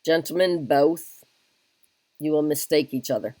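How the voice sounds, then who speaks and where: A man voicing a calm, level-headed English army officer in a solo reading of a stage play. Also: fairly high pitch, unhurried, no room sound, natural voice.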